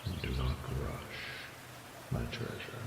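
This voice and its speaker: A man voicing an ancient, proud but tender dragon who mentors his beloved in slow, formal, commanding speech in a fantasy audio drama. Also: natural voice. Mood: patient, guiding. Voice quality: deep gravelly, often breathy. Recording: echoey cavernous reverb with ambient noise.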